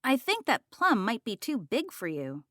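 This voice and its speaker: A woman's voice: a little high, wildly swooping pitch, normal speed, fairly bright tone, no echo, studio quality. A woman voicing a bossy boy cousin mouse who leads the way, confident and instructing, in a children's storybook. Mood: brisk. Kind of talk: children's picture-book read-aloud.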